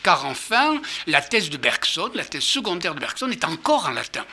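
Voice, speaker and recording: A man's voice: on the high side, sing-song, moderate pace, pushed and bright, slight background noise. An older man giving a lecture to an audience, hesitant with many fillers and restarts, and fond of rhetorical questions. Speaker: author and lecturer.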